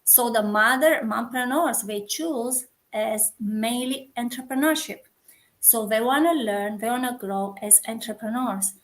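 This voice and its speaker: Romanian-accented woman, earnest and halting. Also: a little high. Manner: earnest, searching for words with restarts. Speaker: community founder, guest. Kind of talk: casual interview chat.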